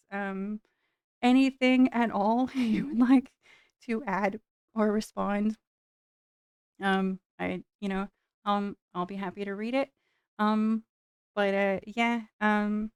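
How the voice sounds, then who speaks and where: A woman's voice: medium pitch, clear, resonant tone, dry recording, studio quality. A woman vlogger chatting casually while building miniatures, her voice tiring from long talking, meandering with lots of fillers. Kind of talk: rambling casual chat while building models.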